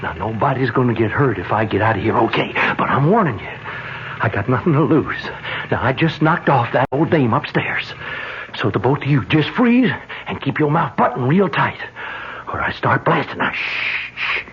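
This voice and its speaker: An actor playing a cornered, bullying killer with street-tough slangy speech in a radio drama. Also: male voice, mid-range voice, animated, normal speed, natural voice. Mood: menacing. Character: cornered young thug killer, jumpy, bullying, boastful. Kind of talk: old-time radio drama acting. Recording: dull, noisy old radio broadcast recording.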